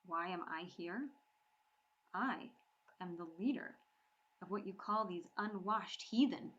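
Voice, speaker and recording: A woman's voice: lowish voice, takes it slowly, a bit bright, dull audio. A woman voicing a proud teenage Viking boy who talks in a haughty, commanding way.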